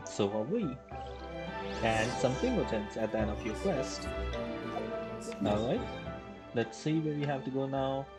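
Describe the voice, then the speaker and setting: A woman's voice: a bass voice, lively intonation, unhurried, long pauses. A woman streaming a game live, chatting casually and reacting aloud to what happens. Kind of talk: casual live gameplay commentary. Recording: loud game music under voice. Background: loud game music.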